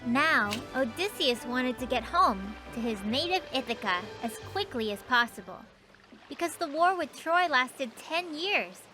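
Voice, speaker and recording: A woman voicing a patient, knowing young girl who tells stories in an explanatory way in an animated children's series. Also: high-pitched, a little slow, full character voice. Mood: storytelling. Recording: music behind the voice.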